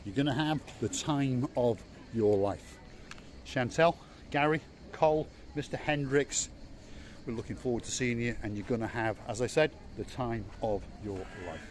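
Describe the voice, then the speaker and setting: A man's voice: mid-range voice, normal speed. Chatty teasing man on a resort walk. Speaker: vlog host. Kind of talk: casual walking tour vlog. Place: outdoor holiday resort. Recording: faint outdoor background noise.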